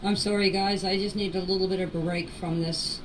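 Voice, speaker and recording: A woman's voice: lowish voice, fairly even intonation, moderate pace. An older woman making an emotional personal statement in voice messages, plain-spoken, pleading and insistent. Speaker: grandmother answering questions in a group voice chat. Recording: distant, noisy amateur voice-message recording.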